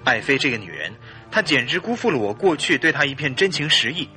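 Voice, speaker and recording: An actor playing a suspicious, wounded and hot-tempered husband in an old-fashioned radio drama, speaking dramatically. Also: male voice, medium pitch, big pitch swings, a little fast, over music, lightly acted. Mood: dejected, bitter. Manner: forceful, accusing, dramatic.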